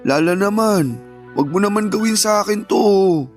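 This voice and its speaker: A high male voice playing a desperate young father full of remorse. He pleads and whines for another chance in radio drama style, over faint music. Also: expressive, normal speed. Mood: remorseful, begging. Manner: pleading, whiny. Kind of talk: Filipino radio drama acting.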